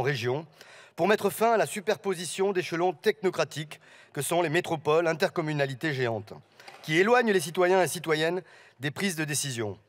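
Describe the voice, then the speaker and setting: A man reading out a formal political speech as spokesman for a parliamentary group. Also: sits high.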